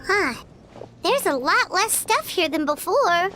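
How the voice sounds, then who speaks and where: A woman voicing a tiny, excitable, childlike fairy companion in an anime-style video game, with a squeaky, exclamatory delivery. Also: slow, faint music behind, exaggerated voice. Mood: curious, chatty. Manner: exclamatory, naive, refers to self by name.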